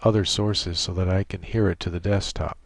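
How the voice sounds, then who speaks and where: A man giving an informal, matter-of-fact technical software walkthrough as he demonstrates a setup. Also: deep voice, fairly even intonation, moderate pace, no room sound. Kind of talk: informal screen-share tutorial narration. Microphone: desktop microphone monitored through computer audio. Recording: clearly noisy home microphone recording.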